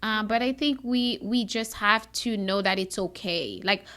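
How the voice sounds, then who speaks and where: A woman's voice: medium pitch, a little slow. A woman from Haiti hosting a podcast, talking candidly in a chatty, bubbly, conversational way. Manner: animated, chatty, self-described bubbly. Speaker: podcast host.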